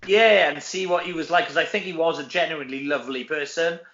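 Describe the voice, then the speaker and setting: Bright-voiced Welsh man, echoey dull recording. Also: fairly high pitch, quick pace. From Wales. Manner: animated, self-deprecating joking. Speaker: actor being interviewed. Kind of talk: casual chat interview. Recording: dull, echoey room sound.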